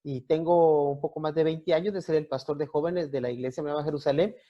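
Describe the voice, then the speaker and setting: A man in his forties with a Guatemalan accent, a youth pastor explaining things haltingly as an interview guest, on a muffled connection. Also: on the high side, steady tone.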